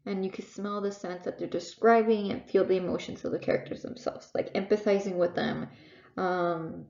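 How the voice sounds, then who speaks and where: A young woman with a Texan accent and a congested nose, tutoring students in a casual, halting way full of fillers and restarts. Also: mid-range voice, fairly even intonation, creaky, dark tone. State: congested, sniffling. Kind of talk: recorded online reading lesson. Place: at home. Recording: echoey, dull lo-fi home recording.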